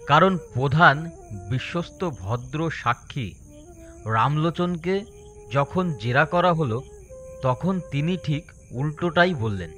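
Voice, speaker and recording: A man's voice: on the high side, pushed and bright. Male storyteller over faint music. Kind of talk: scripted dramatic story narration.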